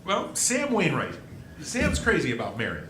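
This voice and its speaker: A man playing an earnest, stubborn young small-town man in a live 1940s-style radio play, with emphatic, halting delivery. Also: on the high side, wildly swooping pitch, fairly bright tone. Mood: wry, restless. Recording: roomy live stage, faint noise.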